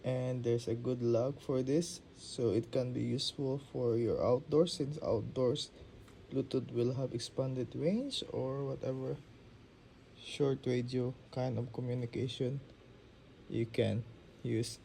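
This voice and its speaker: Slow-talking man with a creaky voice. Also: medium pitch, fairly even intonation, mellow, slight background noise. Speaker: app reviewer demonstrating a phone app. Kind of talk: casual tech demo narration.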